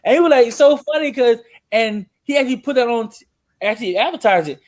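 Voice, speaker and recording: Man, podcast co-host, high voice, very lively pitch, laughs as he tells stories. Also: fast talker. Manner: animated, laughs through his stories. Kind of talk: casual storytelling.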